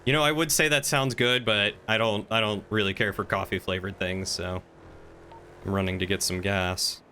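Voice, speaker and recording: A man's voice: on the low side, steady tone, moderate pace, pushed and bright, slight background noise. A man chatting casually as he streams a game, talking to viewers and other players.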